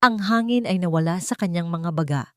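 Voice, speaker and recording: A woman narrating a scripted dramatic story in a suspenseful way, pausing between short phrases. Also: lowish voice, a little mellow, no room sound, studio quality.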